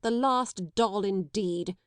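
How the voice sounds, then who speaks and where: A woman playing a sharp, domineering schoolmistress. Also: medium pitch, slow, clear, resonant tone, dry recording, studio quality, close to an everyday voice. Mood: harsh. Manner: cold, scolding, sharp. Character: stern domineering middle-aged woman schoolmistress. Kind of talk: audiobook character voice.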